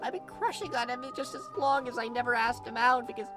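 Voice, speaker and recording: A timid teenage boy, played by a woman reading a story speaks in a high voice. He is anxious, earnest and hesitant, pleading to be heard over soft music. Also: lively intonation, a little fast, close to an everyday voice. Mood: earnest, nervous.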